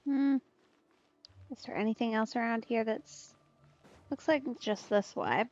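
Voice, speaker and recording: A woman's voice: on the high side, unhurried, darkish tone. A woman giving casual let's play commentary, musing to herself in loose asides as she plays. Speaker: streamer, let's play host. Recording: slightly dull, dry close recording.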